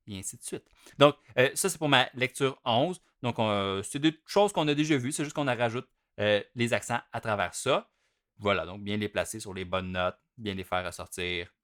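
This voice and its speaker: A young man with a Québécois French accent teaches drumming in an easygoing, conversational way, his voice sitting at an ordinary middle pitch. He chants rhythm names aloud, pushing the accented notes hard and dropping the others down soft. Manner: chatty and relaxed, chants note rhythms aloud with strong loud-soft contrasts. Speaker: drum teacher. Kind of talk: casual instructional explanation.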